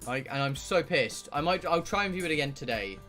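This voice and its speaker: A man's voice: fairly high pitch, expressive, brisk, sharp tone, soft background music. A man livestreaming a visual novel, chatting casually and reading dialogue aloud in a rambling way with casual swearing. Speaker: streamer playing a visual novel.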